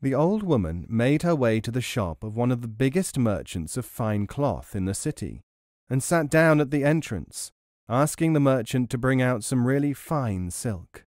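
A man narrating a scripted story as an audiobook reader, expressive, measured and articulate. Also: mid-range voice, no room sound, clean studio sound.

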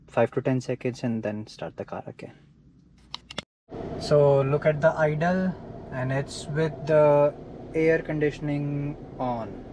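A man's voice: mid-range voice, noisy background. A man explaining a car repair step by step while he works inside a parked car. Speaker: DIY car mechanic. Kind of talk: hands-on repair tutorial.

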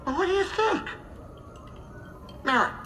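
Bright, animated alien jokester. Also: male voice, very high-pitched, unhurried, faint music behind, exaggerated voice. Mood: chatty, proud. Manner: animated, teasing, jokey. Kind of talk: video game cutscene acting.

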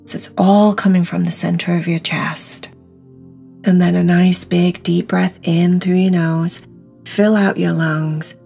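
Female meditation guide: lowish, gravelly-edged voice, very slow delivery, soothing and encouraging instruction, music underneath. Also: steady tone, mellow.

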